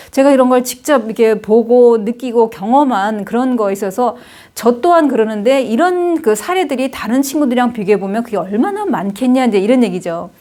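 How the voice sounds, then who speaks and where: Middle-aged lady teaching, kinda high voice, rambly, lots of "right?" tags. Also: a little mellow. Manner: chatty, lots of fillers and tag questions. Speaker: Hanja lecturer. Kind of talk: casual spoken lecture.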